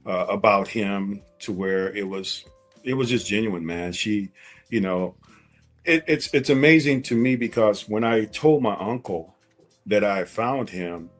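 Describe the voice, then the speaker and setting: Deep-voiced man telling his personal story. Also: unhurried, faint music behind. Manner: conversational, repeats phrases for emphasis. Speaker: interview guest, coach.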